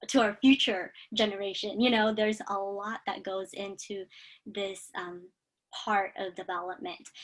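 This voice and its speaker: A young adult woman speaking as a guest in a relaxed video interview. She talks at an easy, even pitch and often pauses mid-thought with "um.". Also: rough recording. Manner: chatty, many "um" pauses. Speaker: speech language pathologist, guest.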